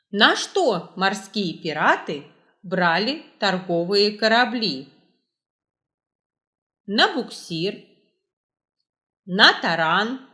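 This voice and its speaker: A woman hosting a quiz, reading scripted questions and answers aloud with deliberate, measured enunciation. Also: medium pitch, bright, forceful tone. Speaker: quiz host, librarian.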